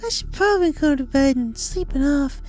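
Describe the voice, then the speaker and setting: A haunted, desperately upbeat girl pony from a cartoon fan story. The voice is extremely high and earnest, narrating a diary with faint music underneath. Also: expressive, moderate pace, a little mellow. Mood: dizzy, tipsy. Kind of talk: dramatized diary reading of fan fiction.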